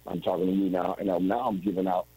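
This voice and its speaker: A man's voice: low-pitched, little pitch movement, fast talker, dark tone, husky, low-quality recording. A man in his forties telling his story as an interview guest over a video call, rambling and restarting often. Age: 40s. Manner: rambling, with frequent restarts and "you know what I'm saying".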